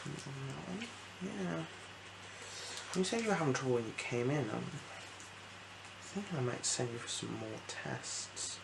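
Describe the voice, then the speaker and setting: A soft-spoken person performing an ASMR eye exam roleplay as an optician, giving gentle instructions with hesitant pauses. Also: mid-range voice, lively intonation, vocal fry, noisy background.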